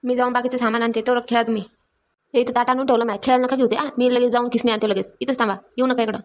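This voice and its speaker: A woman's voice: fairly high pitch, little pitch movement, a little hiss or hum, lightly acted. A woman voicing a busy, scolding village mother in a cartoon, nagging. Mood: bossy, busy. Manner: nagging, rapid repeated orders. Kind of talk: Marathi comedy cartoon dialogue.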